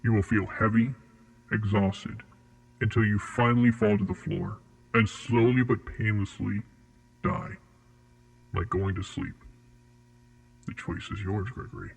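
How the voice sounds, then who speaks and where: A man playing a cold, formal interrogator at a secret facility in a horror audio drama, with a husky, measured delivery. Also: fairly low pitch, animated, full character voice, poor audio.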